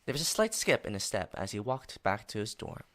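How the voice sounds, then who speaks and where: A man's voice: lowish voice, sing-song, brisk, fairly bright tone. A man playing a wry storyteller, narrating a scripted audio drama. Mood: wry, storytelling. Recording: noisy background, vehicle-like ambience.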